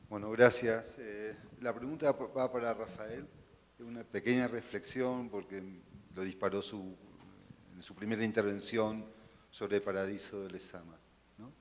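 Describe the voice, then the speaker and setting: A man's voice: on the low side, steady tone, unhurried. A man in the audience asking a question hesitantly, adding tag questions. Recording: reverberant hall with faint noise.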